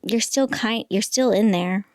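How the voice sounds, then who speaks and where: A woman's voice: fairly high pitch, a little slow, darkish tone, dry recording. A woman in her thirties, a stand-up comic and pregnant guest, chatting casually with a small, light voice, laughing often and trailing into fillers. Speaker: guest comedian. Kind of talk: casual podcast chat.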